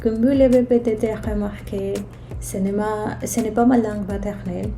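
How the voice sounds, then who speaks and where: A woman's voice: mid-range voice, fairly even intonation, normal speed, gravelly, warm tone. A woman vlogger whose first language is Spanish, speaking with a Spanish accent in a careful, hesitant way as she tells personal stories casually. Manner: careful, hesitant non-native French delivery. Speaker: vlogger, channel host. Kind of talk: casual personal storytelling to camera. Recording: roomy echo, music underneath. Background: background music.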